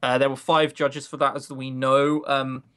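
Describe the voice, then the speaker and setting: Male podcast co-host, mid-pitched voice, casual and opinionated, sarcastic grumbler who swears freely. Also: flat delivery, normal speed, a bit bright, clean tone.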